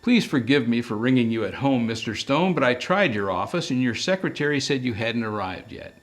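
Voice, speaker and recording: A man's voice: mid-range voice, lively intonation, a little fast, natural voice. A man voicing a priggish, anxious male English professor in a detective audiobook, speaking formally and wordily. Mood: formal, fussy. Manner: formal, wordy, fussy.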